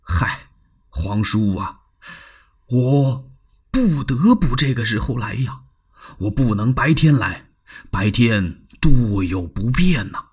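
A man voicing an anxious, earnest older court noble in a traditional told story, pleading and husky. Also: mid-range voice, very animated, slow, darkish tone, exaggerated voice. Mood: urgent. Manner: urgent, pleading, turns tearful. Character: anxious loyal older male imperial in-law, earnest.

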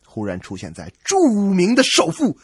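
Husky, animated male radio joke teller. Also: fairly high pitch, normal speed, no room sound. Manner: conversational, theatrical storyteller. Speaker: radio comedy host. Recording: dry, faint music behind.

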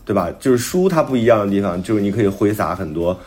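A man's voice: on the low side, lively intonation, fast talker, a little mellow. A middle-aged man talking casually as a novelist, telling stories and acting out bits of quoted conversation. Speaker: novelist guest discussing own book.

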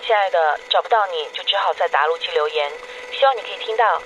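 A woman's voice: on the low side, fast, natural voice. A woman playing a devoted, wistful young girlfriend leaving affectionate, confiding voicemails in a radio drama. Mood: upbeat, affectionate. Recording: dull noisy answering-machine message.

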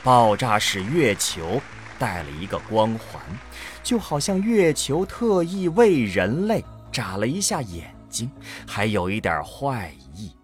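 A man's voice: lowish voice, very animated, slow pace, faint music behind. A man narrating a scripted science fiction audio drama in a dramatic storytelling manner.

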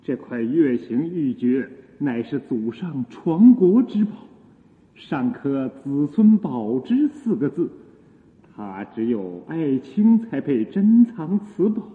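A doting, excitable emperor, swooping voice. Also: male voice, mid-range voice. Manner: effusive, coaxing, excitable. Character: doting emperor coaxing his beloved concubine. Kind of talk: theatrical Chinese radio drama acting. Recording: phone-like lo-fi sound in long reverb.

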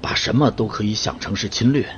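Man acting deep-voiced furtive older colleague. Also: a little fast, lightly acted. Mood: guarded. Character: older male colleague, familiar, furtive, cynical. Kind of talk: audio drama acting. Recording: dull muffled phone call, background noise.

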